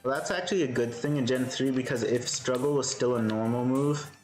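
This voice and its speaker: A man live-streaming a game, with a soothing voice, thinking aloud in a relaxed way and answering chat. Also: mid-range voice, fairly quick, echoey room, soft background music, rough recording.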